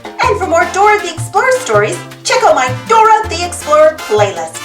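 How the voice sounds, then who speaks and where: A woman reading a children's picture book aloud in an animated way, putting questions to young listeners. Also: very high-pitched, brisk, echoey room, music in the background. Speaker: storybook read-aloud host.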